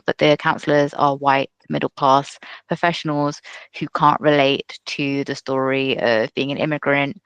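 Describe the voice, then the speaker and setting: A panelist and charity founder, giving an earnest, halting webinar answer over a video call. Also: medium pitch, gravelly, a bit bright, dry recording. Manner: earnest, halting with many fillers.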